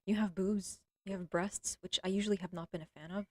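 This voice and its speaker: Young woman rambling on stream, blunt and crude, keeps clearing her throaty voice, dead dry sound. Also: mid-range voice, fairly even intonation, a little fast, dry recording. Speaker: livestreamer. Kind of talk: casual rambling monologue. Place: at home with a cat.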